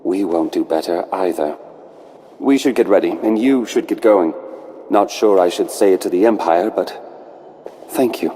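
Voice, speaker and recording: An actor playing a proud, wary rebel leader in a video game, earnest and guarded. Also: male voice, deep voice, moderate pace, long pauses, mellow, a little hiss or hum, lightly acted. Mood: resolute. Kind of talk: video game cutscene acting.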